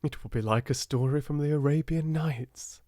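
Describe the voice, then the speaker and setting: A brisk young English secretary from a storybook, as a male narrator puts him on. His pitch sits above the reader's own, and he sounds inquisitive and easily indignant. The recording is close and dry. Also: dark tone, no room sound, close to an everyday voice. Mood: pleased, brisk.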